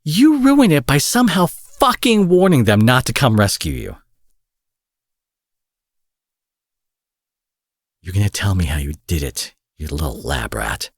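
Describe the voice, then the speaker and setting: A man's voice: on the low side, very animated, normal speed, breathy edge, no room sound, studio quality, full character voice. A man playing an angry, menacing supervillain in an audio drama, profane, sarcastic and theatrical, taunting with mock affection. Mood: furious, threatening. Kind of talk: roleplay audio drama monologue.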